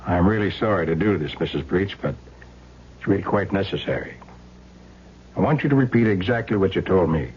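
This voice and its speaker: A man's voice: sits low, lively intonation, brisk, pauses often. A man playing a stern, methodical police lieutenant in a 1970s radio drama, firm and pressing. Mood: firm, courteous. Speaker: actor. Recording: dull, noisy old radio recording.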